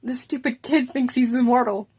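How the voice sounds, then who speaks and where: A stern, world-weary male teacher, acted by a woman reading a story. He speaks in a deliberate, exasperated way, scolding with tired authority. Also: high voice, fairly even intonation, a little mellow, husky.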